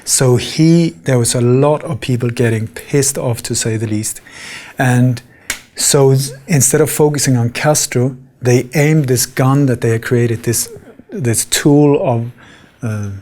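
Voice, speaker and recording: A middle-aged man from Denmark giving a conference talk, earnest and halting, with frequent filler sounds. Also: medium pitch, darkish tone. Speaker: conference speaker.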